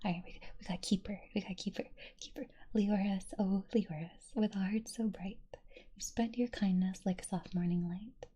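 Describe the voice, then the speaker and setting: A young woman streamer whispering softly and breathily as she reads chat quotes, giggling often between them. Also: medium pitch, steady tone, moderate pace, slightly rough.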